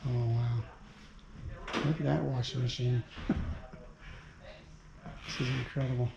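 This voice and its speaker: A man's voice: mid-range voice, flat delivery, unhurried, creaky, heavy background noise. A man vlogging a walking tour through a small museum and historic village, marvelling at everything and reading signs aloud, recorded on an action camera. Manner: marvelling, keeps saying wow, reads signs aloud. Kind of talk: casual walking-tour commentary. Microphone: action camera mic. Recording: slightly dull action-camera audio.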